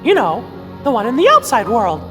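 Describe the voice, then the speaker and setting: A chirpy little cricket narrator. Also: male voice, very high-pitched, huge pitch swings, normal speed, exaggerated voice. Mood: kindly. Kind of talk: video game cutscene acting and narration. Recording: game audio over loud music.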